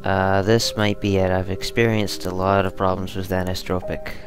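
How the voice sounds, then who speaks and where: A man's voice: sits low, flat delivery, normal speed. A man giving casual game commentary, muttering and thinking aloud as he plays. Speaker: gamer hosting a let's play. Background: game music and sound.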